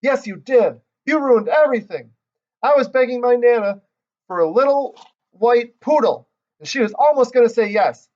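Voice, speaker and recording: Whiny spoiled little girl, high and indignant, as a man puts her on. Lo-fi storybook audio. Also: animated, normal speed, a little mellow. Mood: upset.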